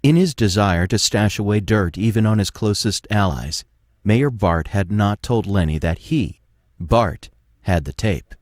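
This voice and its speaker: A man narrating an audio drama from a script, announcing scenes in a measured way. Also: lowish voice, no echo, studio quality.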